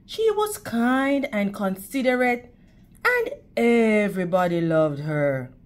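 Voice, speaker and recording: A woman narrates a storytime read-aloud for children. She takes her time, with lively intonation and a teacherly, direct address to her young listeners. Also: medium pitch, takes it slowly.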